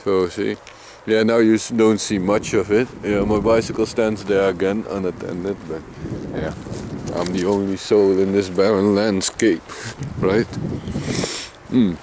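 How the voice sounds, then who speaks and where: A man from Limburg with a Dutch accent and a deep voice, chatting casually to his camera outdoors while out on a bicycle trip, sometimes mumbling a little. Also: fairly even intonation, unhurried, noisy background. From Limburg, Netherlands. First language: Dutch Limburg dialect. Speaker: vlogger. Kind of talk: casual outdoor vlog narration. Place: outdoors in flat open countryside.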